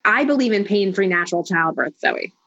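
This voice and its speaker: A woman's voice: mid-range voice, expressive, a little fast, fairly bright tone, dull audio. A woman speaking as a life coach in an interview, firm and emphatic, rattling through lists of points. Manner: emphatic, declarative, speeds up when listing. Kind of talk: conversational interview.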